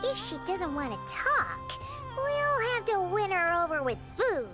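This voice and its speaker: A woman voicing a tiny, excitable, childlike fairy companion in a video game, squeaky and chirpy, full of exclamations. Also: measured pace, loud music behind the voice, heavily acted. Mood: chatty, scheming. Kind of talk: animated video game voice acting.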